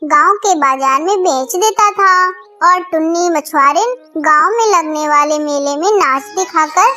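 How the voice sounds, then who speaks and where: A woman's voice: high voice, measured pace, pushed and bright, soft background music. A woman narrator reading a scripted children's story.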